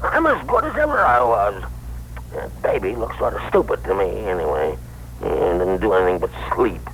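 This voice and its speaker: A man's voice: fairly low pitch, very animated, normal speed, fairly bright tone. An actor playing a cranky, obstinate old man, the outgoing year, talking folksily in a 1960s radio drama. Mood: stubborn, indignant. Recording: noisy vintage radio broadcast recording.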